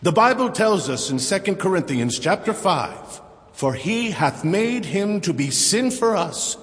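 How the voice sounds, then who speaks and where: High-voiced fervent revival preacher. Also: male voice. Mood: proclaiming. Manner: declamatory, pleading. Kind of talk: evangelistic sermon. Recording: long church-hall reverb.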